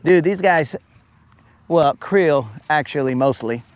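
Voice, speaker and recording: A man's voice: fairly high pitch, slow pace. Chatty man hosting a fishing stream. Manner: casual, chatty, says "bro" a lot, reads viewer comments aloud. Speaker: fishing livestream host. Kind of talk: live stream chat while fishing. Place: outdoors on a lake, fishing.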